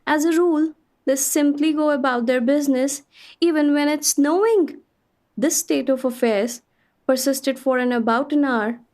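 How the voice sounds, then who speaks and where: High-pitched lady traveller telling her tale woman acting. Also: moderate pace, darkish tone, close to an everyday voice. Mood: fascinated, wondering. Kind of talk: radio play storytelling, reading a travel account.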